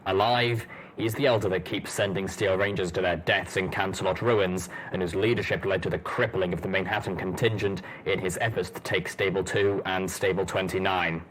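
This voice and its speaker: A man voicing a gruff, blunt, shrewd armored soldier stallion in an audio drama. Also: deep voice, steady tone, normal speed, a bit bright, a little hiss or hum, rough recording. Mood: grim.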